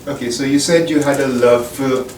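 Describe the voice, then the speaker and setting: A male interviewer puts plain, measured questions to his guest at a middle pitch. He sounds far from the microphone. The room is echoey and a steady background noise runs underneath. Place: newspaper office. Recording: echoey, distant mic, clear noise.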